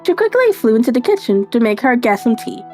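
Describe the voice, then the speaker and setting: A woman reads a visual novel aloud as the player and narrator. Her pitch moves in a lively way through storybook prose and offhand comments, with faint music behind her. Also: quick pace. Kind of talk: dramatic read-aloud narration with casual asides.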